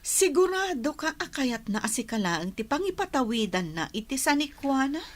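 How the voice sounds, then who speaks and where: A woman's voice: high voice, sing-song, moderate pace. A woman playing a suspicious, grasping wife in a radio drama, pressing with questions in an Ilocano accent. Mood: demanding.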